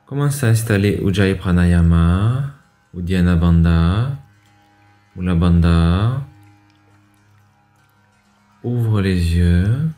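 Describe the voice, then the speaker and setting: A man guiding a yoga class, giving measured step-by-step instructions with pauses. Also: low-pitched, expressive, mellow. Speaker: yoga teacher and radio show host. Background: music under the voice.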